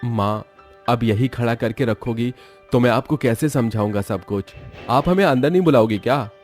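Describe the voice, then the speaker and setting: A man's voice: mid-range voice, moderate pace, a little mellow, faint music behind, close to an everyday voice. A man playing an apologetic young newlywed son in a Hindi cartoon story, explaining and coaxing. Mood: impatient.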